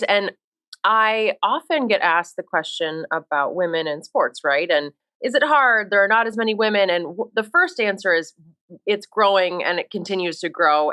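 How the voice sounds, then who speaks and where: A woman's voice: medium pitch, sharp tone. A woman in her thirties from Minnesota, a sports reporter chatting as an interview guest, upbeat and self-deprecating. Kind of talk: casual interview.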